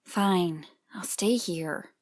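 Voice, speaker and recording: A woman's voice: fairly low pitch, drawn-out words. A woman playing a stubborn, vengeful young female fighter in an audio drama. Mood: grudging. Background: loud background music.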